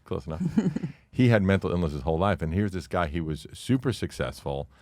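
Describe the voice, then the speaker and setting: Deep-voiced guy pushing fifty, blunt and swears a lot, real dry close sound. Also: animated, fairly quick, a little mellow, no echo. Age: 40s. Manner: blunt, profane, brash storyteller. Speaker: podcast guest, comedy club owner. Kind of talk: casual podcast chat.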